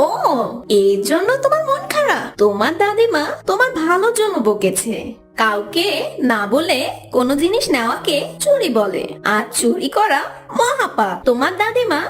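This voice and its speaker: A gentle young cartoon mango, voiced by a woman, earnest and given to moral advice. The voice is extremely high and very sing-song, with music behind. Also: exaggerated voice. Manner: earnest, admonishing. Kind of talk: children's cartoon voice acting.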